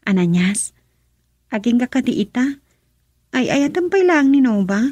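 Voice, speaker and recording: A woman acting a concerned, talkative friend in a radio drama, husky and breathy, with an Ilocano Filipino accent. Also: a little high, big pitch swings, unhurried, a little mellow, no room sound. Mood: exasperated.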